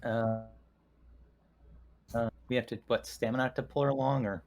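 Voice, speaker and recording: Halting man playing a tabletop game. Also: medium pitch, fairly even intonation. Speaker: tabletop roleplaying player. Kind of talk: casual game-table chat.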